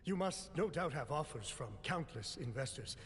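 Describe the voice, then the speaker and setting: Formal investor in reverb. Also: male voice, fairly high pitch, lively intonation. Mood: deferential. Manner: formal, measured courtesy. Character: polite, businesslike male investor. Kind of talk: game voice acting. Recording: long reverb under loud music.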